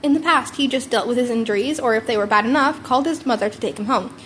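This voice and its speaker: A woman narrates fiction in a fairly high voice. She reads like an amateur, sometimes repeating a stumbled word. It sounds like a home recording with some noise. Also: quick pace, fairly bright tone. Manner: stumbles over words and rereads them. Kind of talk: narrated story reading.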